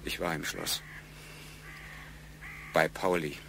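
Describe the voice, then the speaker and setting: An actor playing an evasive, defensive man under questioning in a German radio crime drama, speaking haltingly. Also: extremely low pitch, fairly even intonation, gravelly, background noise, exaggerated voice. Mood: halting confession.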